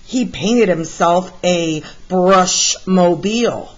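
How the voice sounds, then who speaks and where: A woman reading a picture book aloud to children in an expressive, deliberate storytelling style, like a teacher. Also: fairly low pitch, slightly rough. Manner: deliberate, with animated emphasis for young listeners. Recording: slightly muffled, lo-fi sound.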